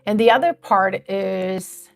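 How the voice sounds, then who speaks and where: A woman's voice: mid-range voice, takes it slowly, vocal fry, faint music behind. A woman in her fifties chatting casually and earnestly on a live stream, rambling a little as she talks about growing her page. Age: 50s.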